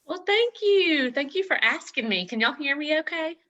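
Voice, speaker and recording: Older Southern woman, guest speaker on a laptop call; bright, chatty, quick to laugh. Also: high voice, animated, no echo, dull audio. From Southern US (Mississippi, Alabama). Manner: warm, chatty, laughs often. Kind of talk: casual talk on a video call. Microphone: laptop on a video call.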